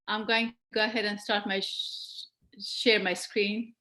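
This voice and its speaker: A woman's voice: medium pitch, level tone, a little slow, pushed and bright, a little hiss or hum. A woman in her forties, born in Afghanistan, giving an earnest presentation over a video call with small restarts. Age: 40s. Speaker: guest presenter. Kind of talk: webcast presentation.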